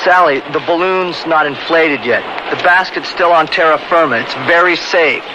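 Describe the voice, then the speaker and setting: A male actor plays a brash young man who likes to be in charge, pitched slightly high for a man. He is smooth-talking and bossy, in radio drama style, on a noisy old recording. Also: moderate pace, dull audio, close to an everyday voice. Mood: confident, bossy. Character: cocky, controlling, handsome young balloon instructor.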